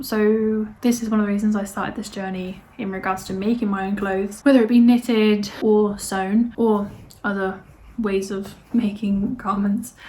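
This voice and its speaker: A woman hosts a crafting podcast in a loose, rambling chat, with lots of "um"s and false starts. Her voice is mid-pitched and a little hoarse, as if she is losing it. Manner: chatty and rambling, many fillers and restarts. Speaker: knitting podcast host. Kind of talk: casual chat.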